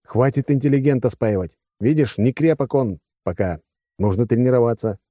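A man's voice: medium pitch, normal speed, dark tone, dry recording, clean studio sound, pitched above the performer's own voice, lightly acted. A man playing a wry, tipsy space officer who gives casual orders.

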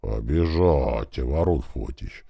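A man voicing a young, burly carpenter foreman with a bassy voice and a plain, deferential manner, in an audiobook story. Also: expressive, takes it slowly, gravelly, mellow, husky, dry recording, studio quality, exaggerated voice. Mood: dutiful.

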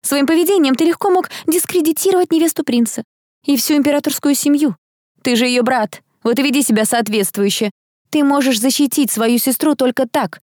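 Casting: woman narrator as huffy, bossy girl in her youth, raised pitch, scolding delivery, storybook. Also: brisk, darkish tone, no echo, clean studio sound, lightly acted. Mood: indignant scolding. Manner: snappy, huffy, high-pitched. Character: sharp-tongued, bossy young woman scolding her companion.

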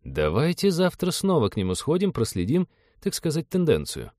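A man's voice: fairly high pitch, huge pitch swings, moderate pace, darkish tone, no room sound, studio quality, voice pushed up. A man voicing a hopeful, mild-mannered ship's doctor in an audiobook story. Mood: suggesting.

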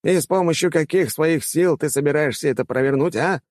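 Man putting on a high, blustering old rector. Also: normal speed, darkish tone, dry recording, clean studio sound, close to an everyday voice. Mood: blustering, persuasive. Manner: exclamatory, indignant, pompous. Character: elderly male academy rector, blustering, wheedling salesman.

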